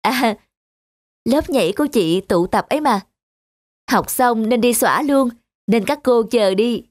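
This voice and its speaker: A woman voicing a sociable, popular young office worker in an animated drama, casual and confident. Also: on the high side, measured pace, no echo, clean studio sound. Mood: breezy.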